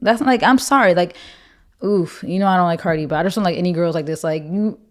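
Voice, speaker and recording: Fast-talking deep-voiced young woman. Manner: animated, lots of "like", mocking voices. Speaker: podcast co-host. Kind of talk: casual chat.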